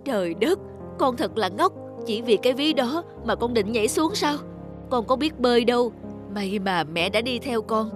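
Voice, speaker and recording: A woman voicing a caring, worried mother in an animated story, gentle and concerned in manner. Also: a little high, moderate pace, natural voice. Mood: scolding. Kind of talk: Vietnamese animated moral story dubbing. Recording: music behind the voice.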